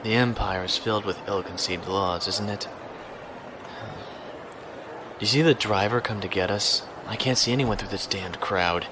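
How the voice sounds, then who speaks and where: A man playing a calm, courteous space navy lieutenant in an audio drama, speaking in a measured, dry way. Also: on the low side, background noise, lightly acted. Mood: conversational. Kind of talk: audio drama acting with log narration.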